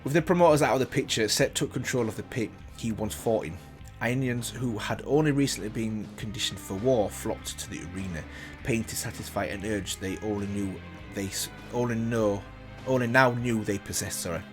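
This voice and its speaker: Man, fairly low voice, reaction host, casual read-aloud with commentary, music in the background. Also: brisk, hardly any pauses. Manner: reads aloud with frequent slips and self-corrections.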